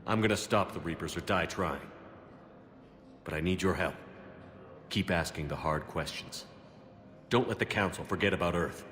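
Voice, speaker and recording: A man's voice: low voice, normal speed, pauses often, a bit bright, in a large hall. A man playing a steadfast, earnest military commander in a video game, speaking in a terse, firm manner. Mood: resolute.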